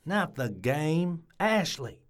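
A man's voice: fairly high pitch, sing-song, slow. A man playing an earnest, nosy ballplayer in an audio drama. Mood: earnest, curious. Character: earnest, nosy male ballplayer who reads lips.

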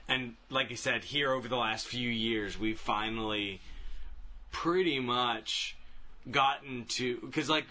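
A man's voice: medium pitch, sharp tone. A man co-hosting a podcast, talking casually and explaining things as he thinks them through. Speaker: podcast co-host. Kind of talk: casual group chat about video games.

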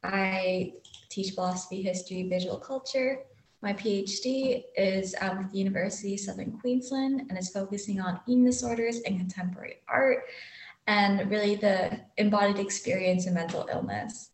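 A woman's voice: medium pitch, moderate pace. Woman from canada, professor, introducing herself, room sounds echoey. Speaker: guest artist and professor. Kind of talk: casual self-introduction.

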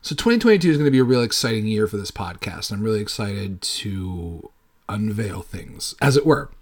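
Guy late 30s doing a solo podcast, really lively pitch, rambles and swears, super casual. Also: brisk. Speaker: podcast host.

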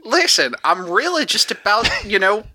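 A man's voice: high-pitched, very animated, normal speed, pushed and bright, no room sound, exaggerated voice. A man voicing an immortal male cowboy in a put-on cowboy accent, hosting a comedic podcast news bit in a folksy, cryptic manner. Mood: drawling. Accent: put-on cowboy drawl. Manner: folksy, unbothered, grandly cryptic. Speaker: segment host.

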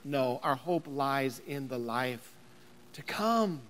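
A man in his thirties preaching a sermon as a pastor, building to emphatic near-shouting. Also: medium pitch, lively intonation, slow pace, long reverb tail. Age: 30s.

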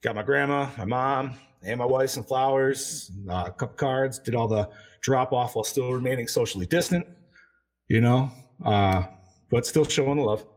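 Man, podcast co-host, mid pitch, laid-back casual chat. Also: normal speed. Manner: laid-back, says dude a lot.

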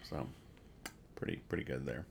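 A man's voice: very deep voice, normal speed, gravelly, a little hiss or hum. A man hosting a podcast, casually describing and explaining comic strips in a rambling, mock-earnest way. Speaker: podcast host.

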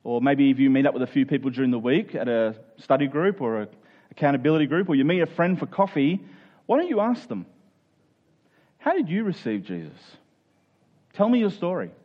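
A man's voice: medium pitch, very animated, brisk, dull audio. A man preaching a conversational, expository Bible sermon, explaining step by step, repeating key phrases and checking in with his listeners.

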